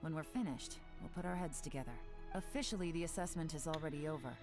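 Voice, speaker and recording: A poised young woman investigator from a video game, played by an actress, speaking in a low register. She is measured, businesslike and coolly in control, with music playing clearly behind her. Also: close to an everyday voice. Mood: composed, businesslike.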